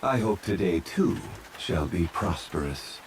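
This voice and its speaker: Guy doing a deep calm ancient god gentleman from a fantasy game, super polite n formal, male asmr, rain in the background. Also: measured pace, noisy background. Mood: calm, courteous. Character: calm, courtly ancient male former god, old-fashioned and unhurried. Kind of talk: soft ASMR roleplay, fantasy game character. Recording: steady rain sound over the voice. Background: rain falling.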